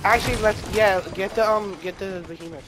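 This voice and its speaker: A man chatting casually with his teammate during a game, swearing often, his voice going hoarse. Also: sits high, fairly even intonation, brisk. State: losing his voice. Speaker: gaming teammate. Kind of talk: casual gaming chat. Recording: noisy game audio behind.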